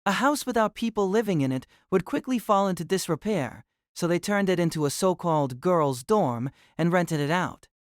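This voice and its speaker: A man narrating a novel in an audio drama, reading in an even, measured way. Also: high-pitched, no echo, clean studio sound.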